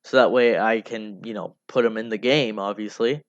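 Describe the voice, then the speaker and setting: A man giving casual, unscripted devlog commentary in a rambling, halting way, often repeating himself. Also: fairly low pitch, steady tone. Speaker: hobby game developer narrating a devlog. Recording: dull lo-fi mic under music. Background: music.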